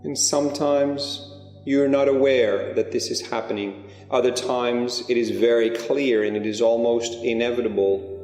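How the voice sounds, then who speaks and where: A man leading a spiritual guided meditation, speaking in slow, deliberate phrases with long pauses. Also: medium pitch, fairly even intonation, a little mellow. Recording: echoey hall-like reverb under music. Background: music.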